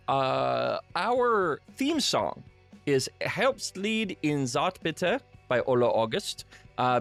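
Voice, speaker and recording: A man's voice: medium pitch, vocal fry, fairly bright tone. A man hosting a podcast solo, talking casually and informally to listeners in a self-deprecating way. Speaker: podcast host.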